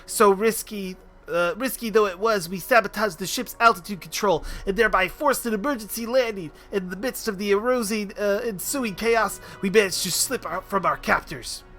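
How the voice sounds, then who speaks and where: Man doing a bright, high little airship mechanic. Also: moderate pace, soft background music. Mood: recounting. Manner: storytelling, stumbling over words.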